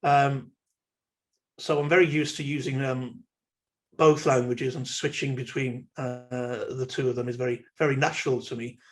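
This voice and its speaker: A man with a Welsh accent gives an online lecture, thinking aloud as he goes. His voice sits at a middle pitch, and his speech is unhurried and dotted with uhs and ums. He sounds like he is recorded on a computer mic for a webinar. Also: flat delivery. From Wales. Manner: measured, thoughtful, many ums and uhs. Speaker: university lecturer.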